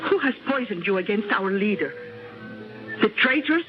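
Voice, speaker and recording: A woman playing a passionate old peasant mother with a Latin American Spanish accent, halting and theatrical, in old-time radio drama style. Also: medium pitch, animated, lo-fi sound, natural voice. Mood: proud, fervent. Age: older. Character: passionate old peasant mother, fiercely loyal, later vengeful. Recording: band-limited old radio recording, music behind.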